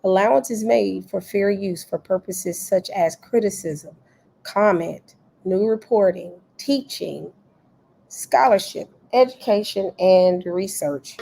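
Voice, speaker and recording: Woman, gravelly, congested failing voice, casual streaming host, noisy room. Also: mid-range voice, slow pace, warm tone. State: losing her voice, sinus congestion. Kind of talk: casual late-night live chat.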